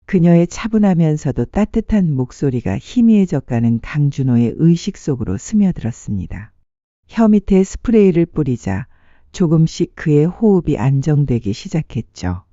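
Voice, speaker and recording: Dark-toned, expressive story narrator. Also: mid-range voice, moderate pace, no echo, lo-fi sound. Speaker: audiobook narrator. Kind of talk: scripted dramatic story narration.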